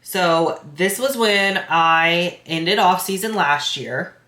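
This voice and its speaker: A woman's voice: on the low side, slow pace, pushed and bright. A congested woman, a bikini competitor and coach, chatting casually to her camera with lots of filler words. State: stuffy, congested nose. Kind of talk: casual vlog recap to camera. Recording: echoey room.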